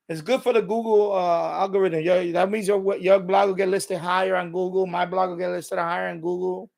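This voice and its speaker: A man's voice: sits high, vocal fry, a little mellow. A middle-aged man chatting casually and unscripted as a live stream host, rambling and repeating himself as he explains.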